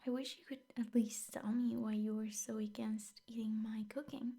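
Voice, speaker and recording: A possessive yandere teacher girlfriend, acted by a woman in an intimate ASMR roleplay. Her voice is fairly high yet very soft and dark-toned, full of breath and often dropping to a whisper. She coaxes sweetly, with menace under the doting. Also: little pitch movement, normal speed, gravelly, husky. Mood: gently coaxing.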